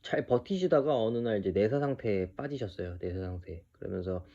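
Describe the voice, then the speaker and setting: Man in his thirties, dark mellow tone, creaky, hesitant personal monologue, muffled recording. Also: lowish voice, lively intonation. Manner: halting, hesitant, trailing into pauses. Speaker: video creator telling his own story. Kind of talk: unscripted personal monologue to camera.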